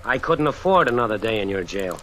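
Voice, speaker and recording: A man's voice: fairly low pitch, very animated, a little fast. An actor playing a sullen, tough young American workingman in a 1940s radio drama, terse and defiant. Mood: resentful. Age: young adult. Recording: hissy, noisy old radio broadcast.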